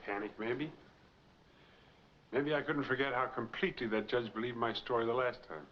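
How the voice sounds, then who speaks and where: A man's voice: mid-range voice, normal speed, close to an everyday voice. A middle-aged American man playing a weary, earnest fugitive wronged by the law, in 1940s film noir style. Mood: bitter, pleading. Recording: dull, noisy 1940s film soundtrack.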